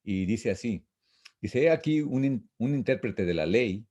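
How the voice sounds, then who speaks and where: A man teaching an informal Bible lesson, reading and explaining verses in a repetitive, halting, didactic way. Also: on the low side, lively intonation, dark tone. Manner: didactic, repetitive, halting with frequent false starts.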